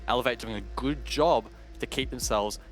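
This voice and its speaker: Steady analytical male esports caster. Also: mid-range voice, normal speed, faint music behind. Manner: steady, detailed tactical explanation with occasional self-corrections. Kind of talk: analytical esports color commentary.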